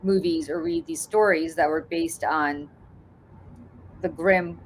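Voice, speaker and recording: A woman's voice: fairly low pitch, big pitch swings, slow pace, vocal fry, slight background noise, low-quality recording. A woman in her forties, originally from Russia, chatting casually as a podcast host and laughing through her stories. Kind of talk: casual chat.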